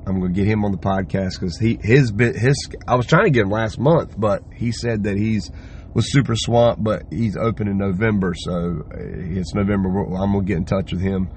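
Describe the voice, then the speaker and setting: A hoarse man hosting a podcast, talking through a loose, rambling solo update and swearing casually. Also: on the low side, slight background noise. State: hoarse, lost voice from yelling. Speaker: podcast host.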